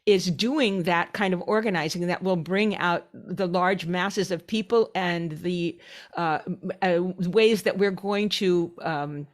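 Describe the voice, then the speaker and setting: A woman's voice: lowish voice, slow. A woman from the United States speaking earnestly on an online panel, with some hesitations.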